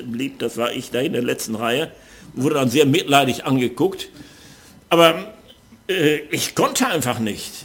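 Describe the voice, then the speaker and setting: Earnest older German preacher. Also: male voice, mid-range voice, a bit bright. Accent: Ruhr area German. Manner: earnest, measured, with frequent fillers and hesitations. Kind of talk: sermon.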